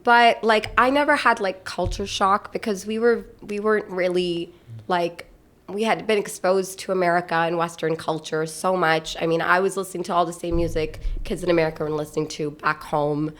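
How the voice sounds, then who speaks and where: A woman's voice: lowish voice, fairly even intonation, normal speed. A woman who grew up in Pakistan, a podcast guest telling stories in casual chat, full of "like" fillers and laughing as she talks.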